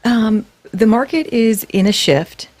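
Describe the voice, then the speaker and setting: A woman from Florida talking as a real estate broker on a radio show, measured and explanatory with frequent ums. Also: on the high side, darkish tone. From Gainesville, Florida. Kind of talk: radio talk show guest. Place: radio studio.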